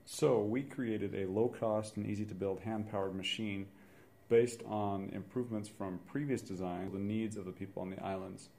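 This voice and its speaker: A young male engineering student explains each construction step in a calm, instructional way. His voice sits a little low and sounds mellow. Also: moderate pace, gravelly, slight background noise. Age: 20s. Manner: steady, instructional. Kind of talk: project explanation and demonstration.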